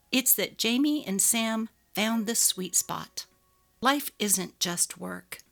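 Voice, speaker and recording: A woman's voice: medium pitch, a bit bright. A woman reading scripted story narration in a dramatic storytelling style. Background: music.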